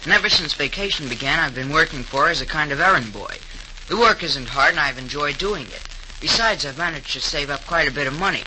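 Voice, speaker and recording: A man's voice: on the high side, animated, a little fast, close to an everyday voice. An actor playing an eager, earnest teenage boy in a 1930s radio drama. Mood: earnest narrating. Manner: earnest, wide-eyed. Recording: noisy 1930s radio recording.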